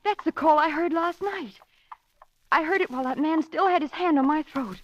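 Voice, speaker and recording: A woman's voice: high voice, moderate pace, dull audio, lightly acted. An actress playing a spirited, chatty, coy young lady companion in an old radio drama. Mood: anxious. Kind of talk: 1940s radio drama acting. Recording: old radio recording with hiss.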